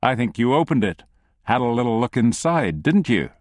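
A man voicing a calm, cruel male thug who speaks in a soft, unhurried, mocking way. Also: mid-range voice, dry recording, studio quality, close to an everyday voice. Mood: quiet menace.

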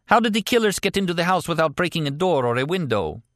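A man's voice: a little high, big pitch swings, fast, fairly bright tone, dry recording, clean studio sound, voice pushed up. A man voicing an uneasy, questioning woman in a thriller audiobook. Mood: alarmed. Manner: questioning, lifted voice.

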